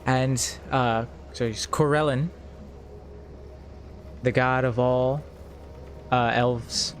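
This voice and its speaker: A man running a tabletop game as game master, reading lore aloud in halting, broken phrases. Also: mid-range voice, steady tone, a little hiss or hum. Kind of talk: narrating a tabletop game over a call.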